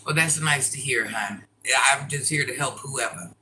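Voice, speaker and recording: A middle-aged woman with a deep voice, chatting casually and bluntly as she answers viewer comments in a livestream. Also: moderate pace, bright, forceful tone, slight background noise, rough recording. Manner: blunt, sassy, talks straight to commenters.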